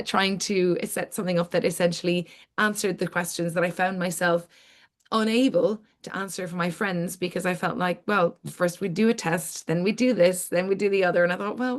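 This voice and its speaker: A middle-aged woman hosting an informal webinar, explaining things in long, flowing sentences with lists of points. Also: on the low side. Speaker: webinar host, academic scientist.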